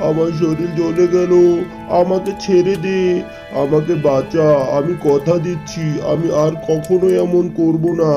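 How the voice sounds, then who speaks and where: A wicked cartoon sorcerer, acted by a man, with a slightly high male voice and a theatrical delivery. Music plays behind him. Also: level tone, a little slow. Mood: agonized pleading. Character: wicked male sorcerer, threatening, then begging for life. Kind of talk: cartoon villain voice acting.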